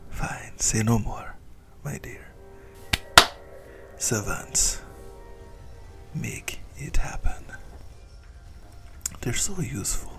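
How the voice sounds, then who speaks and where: A man playing a possessive, doting ancient vampire in a breathy, whispered ASMR roleplay, teasing and intimate as he savors his words. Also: on the low side, heavily acted. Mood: doting, playful. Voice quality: breathy whisper with gravelly creak.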